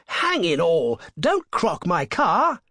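Casting: a man playing an indignant posh young gentleman driver, blustering, voice jumping high, slightly husky. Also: huge pitch swings, slow, no room sound, clean studio sound, heavily acted. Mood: dismayed. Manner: blustering, upper-class exclamations. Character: indignant young English gentleman motorist, flustered.

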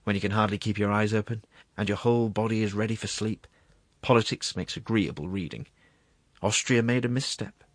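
A man's voice: fairly low pitch, fairly quick, dry recording, close to an everyday voice. A man voicing a wheedling, gluttonous court secretary in a storybook reading, savouring his words in a confiding, coaxing way. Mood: dreamy, coaxing.

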